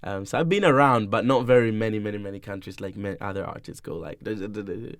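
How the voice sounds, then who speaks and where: Man, from Tanzania, young, low voice, dry close sound, playful, laughs a lot, casual interview. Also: fast, no room sound. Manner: playful, laughs often, loose rambling answers. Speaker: musician guest.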